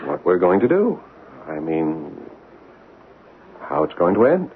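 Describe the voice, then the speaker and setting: An actor playing a shy, earnest young American soldier in an old-time radio drama, hesitant and stammering, with an American accent. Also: male voice, low voice, wildly swooping pitch, slow, heavily acted. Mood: guilty. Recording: tinny, hissy old radio broadcast.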